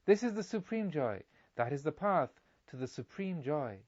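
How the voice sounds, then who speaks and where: A man voicing a proud young merchant pilgrim in a storybook, gruff and slightly tremulous, arguing insistently. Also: fairly high pitch, very animated, moderate pace, a little mellow, muffled recording. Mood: earnest, rising. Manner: insistent, argumentative.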